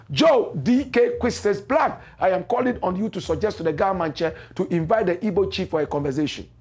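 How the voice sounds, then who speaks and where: A Ghanaian man hosts a live talk show, reading viewer comments aloud and answering them with preachy, animated commentary. His voice sits high and leaps between loud exclamations and low muttered asides. There is a husky edge to his tone. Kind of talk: casual live-stream commentary.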